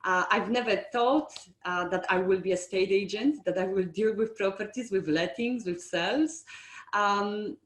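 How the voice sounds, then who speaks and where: A middle-aged woman who learned English later in life tells her career story openly in an interview. She talks frankly, often restarting and filling pauses with um. The sound is roomy, with noticeable echo. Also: mid-range voice, a bit bright. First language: not English. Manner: open, frank, frequent ums and restarts. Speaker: estate agency owner, guest.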